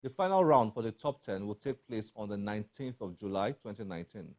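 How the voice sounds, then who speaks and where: A man with a Nigerian accent presenting a scripted TV show to viewers, with deliberate emphasis on key phrases. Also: fairly low pitch, animated, a little mellow, muffled recording. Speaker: TV book show host.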